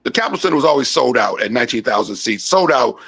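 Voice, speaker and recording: A man's voice: medium pitch, expressive, fast, creaky, fairly bright tone, slightly rough. A man of sixty from Maryland telling a rambling story in a casual panel discussion, repeating himself and saying "man" a lot, over a video call. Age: 60s. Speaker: co-host.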